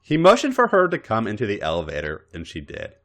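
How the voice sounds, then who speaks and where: A man narrating a story aloud in a dramatic, expressive way. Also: on the low side, fast talker. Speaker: podcast host reading fan fiction. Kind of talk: story narration with acted dialogue.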